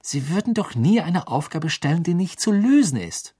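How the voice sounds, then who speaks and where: A man's voice: a little high, very animated, moderate pace, warm tone, slightly rough, voice pushed up. A man voicing a bookish teenage girl, a witch who talks in an earnest, lecturing way. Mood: insistent.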